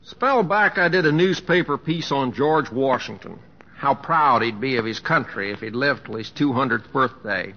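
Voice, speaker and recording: An actor playing a folksy, wry, kindly American humorist with a homespun drawl, in an old-time radio drama. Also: male voice, mid-range voice, animated, moderate pace. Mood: joking. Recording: muffled old radio recording.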